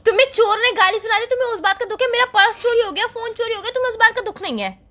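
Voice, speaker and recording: A woman's voice: very high-pitched, expressive, racing, bright, forceful tone, exaggerated voice. A young woman playing a pleading, jealous, insistent girlfriend in a casual comic phone-call skit. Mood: upset, pleading.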